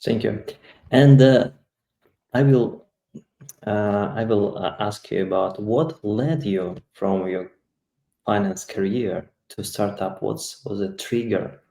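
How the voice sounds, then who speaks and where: A man's voice: on the low side, slow, gravelly, dark tone, roomy sound. A male podcast host who reads a scripted introduction evenly, with small slips, and then asks questions. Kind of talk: reading an intro, then interviewing.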